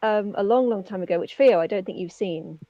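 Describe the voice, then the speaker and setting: A woman's voice: medium pitch, brisk, warm tone, no room sound. A woman co-hosting an interview in casual, chatty style, heard on a lo-fi microphone. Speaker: interview co-host. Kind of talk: casual chat. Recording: dull lo-fi mic, dry.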